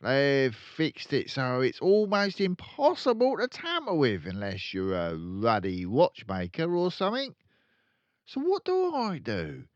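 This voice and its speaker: An older storyteller acts out a crooked car-dealer dad from a children's storybook, a man who brags loudly and sneers. His pitch is pushed up and swings wildly, in a roomy, echoing recording. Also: wildly swooping pitch, measured pace, dull audio. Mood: cocky explaining. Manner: loud bragging, sneering, colloquial. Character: boastful, crooked, rude car-dealer father, middle-aged.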